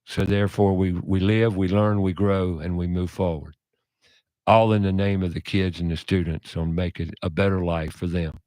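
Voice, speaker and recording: A man hosting a radio talk show, speaking in a loud, passionate, preachy way. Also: deep voice, little pitch movement, moderate pace, dry recording.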